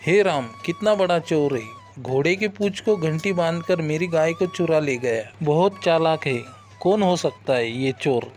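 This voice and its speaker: A man's voice: a little high, measured pace, a little mellow, lightly acted. A man playing a trusting, fretful middle-aged village father in a cartoon story, exclaiming and thinking aloud. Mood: alarmed. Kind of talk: Hindi cartoon moral-story acting. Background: background music.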